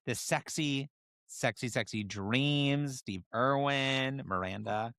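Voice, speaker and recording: A woman's voice: rumbling low, slow, fairly bright tone, no room sound, clean studio sound. A woman co-hosting a podcast, dropping wry, joking asides in casual comedic chat. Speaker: podcast co-host.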